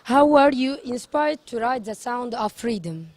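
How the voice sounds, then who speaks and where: A woman's voice: fairly high pitch, expressive, unhurried. A woman hosting an interview, giving a prepared introduction and asking questions. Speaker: interviewer, host.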